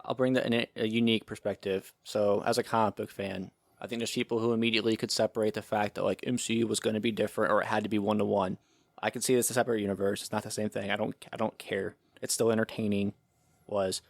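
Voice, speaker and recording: A man's voice: on the low side, flat delivery, fast, creaky, no echo, studio quality. A man co-hosting a podcast in casual chat, blunt and swearing casually. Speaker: podcast co-host.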